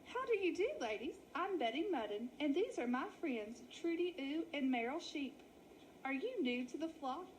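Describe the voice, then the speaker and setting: Woman playing a tough old lady sheep, high-voiced. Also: lively intonation, normal speed, noisy background. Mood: welcoming. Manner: firm, no-nonsense. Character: tough old suspicious female sheep, leader of flock. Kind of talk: children's storybook read-aloud.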